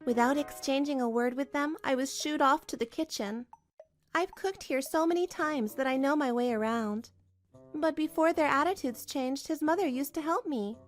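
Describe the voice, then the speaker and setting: High-pitched woman narrating a drama story. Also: moderate pace, soft background music. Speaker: narrator and voice actor. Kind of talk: first-person scripted drama narration.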